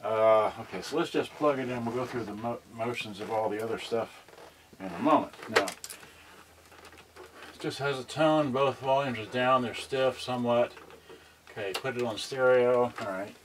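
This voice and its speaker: A man talks casually to the camera as he handles things, thinking aloud in loose, halting fragments. His voice sits at an ordinary middle pitch. The recording picks him up from a distance, with heavy noise and clicks around him. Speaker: vlogger unboxing and testing a vintage cassette radio. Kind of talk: unscripted casual narration while handling items.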